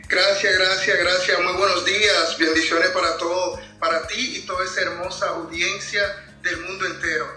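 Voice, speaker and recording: A man's voice: high-pitched, little pitch movement, normal speed, few pauses, sharp tone. A man in his thirties with a Dominican accent, giving testimony as an interview guest over a video call, often repeating himself as he starts a thought. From Dominican Republic. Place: indoors at home, on a video call. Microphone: tablet video call. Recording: roomy video-call sound with music behind.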